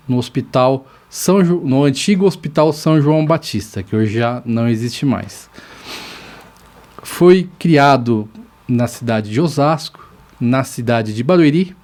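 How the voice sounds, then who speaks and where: A man's voice: mid-range voice, darkish tone. Male lawyer from São Paulo, 40s, podcast guest, rambling and thankful storyteller. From São Paulo, Brazil. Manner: rambling, grateful, self-correcting. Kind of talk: casual interview storytelling.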